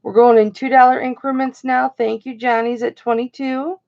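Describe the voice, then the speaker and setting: Slightly hoarse gravelly lady doing a chatty live auction, slow and kinda high, muffled stream sound. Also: level tone. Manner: chatty, greets viewers by name.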